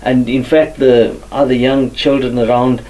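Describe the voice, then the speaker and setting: A man from Zimbabwe tells his story as a guest, pausing often and filling gaps with ums. His voice is mellow and dark. He sits a little back from the microphone. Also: mid-range voice, little pitch movement, distant mic, low-quality recording. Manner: many fillers, soft-spoken. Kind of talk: hesitant personal testimony.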